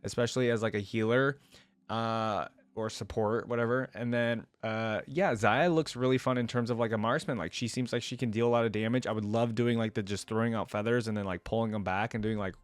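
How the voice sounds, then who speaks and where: Low-voiced enthusiastic man reacting to videos. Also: fairly even intonation, brisk, clear, resonant tone, dry recording. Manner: informal, slangy, enthusiastic fan talk. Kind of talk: casual reaction commentary on game cinematics.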